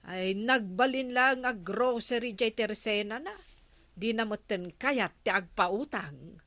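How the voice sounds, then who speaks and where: A woman playing a nosy, gossipy village neighbour in a comic radio drama, full of drawn-out exclamations. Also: fairly high pitch, fairly bright tone, dry recording. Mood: indignant gossip.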